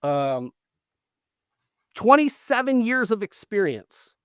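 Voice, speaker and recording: A man hosting a casual interview, speaking haltingly as he searches for words. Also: high voice, big pitch swings, clear, resonant tone, no echo, studio quality. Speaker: podcast host, interviewer.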